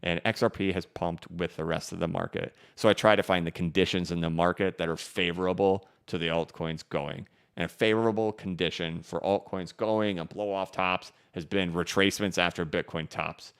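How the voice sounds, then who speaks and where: A man's voice: low voice, fairly even intonation, normal speed, gravelly, hardly any pauses, smooth. A man hosting a live stream, reading viewer messages and answering in a casual, rambling way with many restarts. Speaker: crypto livestream host. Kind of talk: live-stream chat reading super chats. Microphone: studio microphone.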